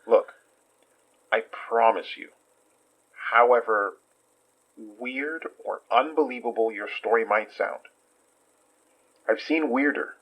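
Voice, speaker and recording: A man playing an earnest, persistent investigator in an audio horror drama, questioning gently with careful reassurance. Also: mid-range voice, slow pace, long pauses, lo-fi sound. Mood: earnest, reassuring.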